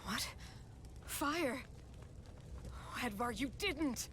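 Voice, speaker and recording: An actress voicing a loyal, duty-bound royal knight in a dramatic video game, speaking with an earnest, solemn delivery. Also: female voice, sits high, lively intonation, extremely slow, noisy background, full character voice. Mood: shocked, anguished. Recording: loud dramatic music behind voice.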